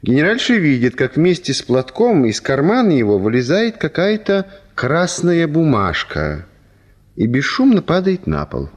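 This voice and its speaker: Casting: narrator for a literary radio drama, wry storyteller, very varied pitch, on the higher side. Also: male voice, huge pitch swings, moderate pace. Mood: wry narrating.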